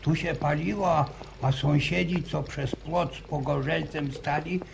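An actor playing an older, superstitious village grandfather who tells stories with halting restarts, in a 1950s radio drama. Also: fairly high pitch, steady tone, vocal fry. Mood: stubborn. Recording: noisy old radio broadcast recording.

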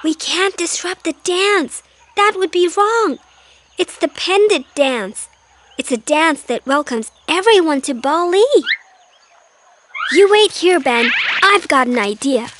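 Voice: very high-pitched, lively intonation, slow pace, slight background noise, full character voice. A performer voicing a bold, earnest, knowledgeable little girl in a children's cartoon, emphatic as she explains. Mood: earnest, explaining. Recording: loud background music.

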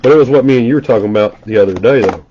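A man's voice: mid-range voice, racing, lo-fi sound, a little hiss or hum. A congested man doing casual live commentary as he opens trading card packs, rattling off player names with chatty asides. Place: indoor room at a table.

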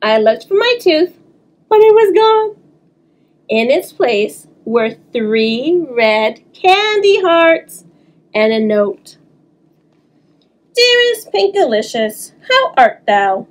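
Slow, high-voiced woman reading a children's story. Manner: animated, talks to young listeners, draws words out. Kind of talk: expressive children's story time read-aloud. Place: small indoor room.

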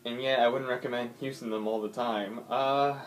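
A man's voice: medium pitch, fairly even intonation, moderate pace. A man giving casual, swearing gameplay commentary, thinking aloud with lots of ums as he plays. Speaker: gaming YouTuber. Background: faint game music.

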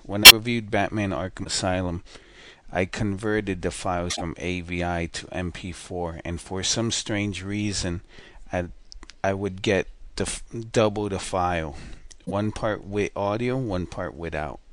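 A man hosting a casual software tutorial over a screen recording, talking in a relaxed, rambling way with fillers and self-corrections. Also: low voice, unhurried, dry recording.

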